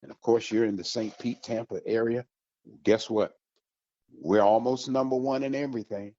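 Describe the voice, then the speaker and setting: A man's voice: mid-range voice, fairly even intonation, normal speed, dark tone, dry recording, muffled recording. A man hosting a community radio show for veterans, talking in a folksy, upbeat promotional way. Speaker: radio host.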